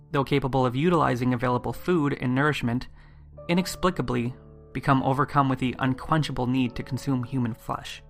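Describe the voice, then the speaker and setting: Male podcast host, creaky mid-range voice, measured scripted narration with suspense, faint music bed. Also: medium pitch, a bit bright.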